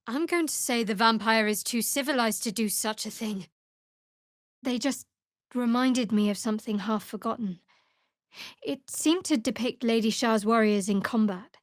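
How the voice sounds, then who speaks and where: An actress playing a guarded, wry young cleric of darkness in a video game, speaking in a measured way. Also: female voice, fairly high pitch, no room sound, studio quality, close to an everyday voice.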